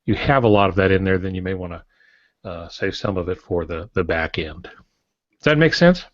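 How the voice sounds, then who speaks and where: Man, fairly deep, advisory podcast teacher, maxim-prone, dry sound, dulled lo-fi audio. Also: expressive, moderate pace, no room sound. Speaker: podcast co-host and writing teacher. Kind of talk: casual instructional talk.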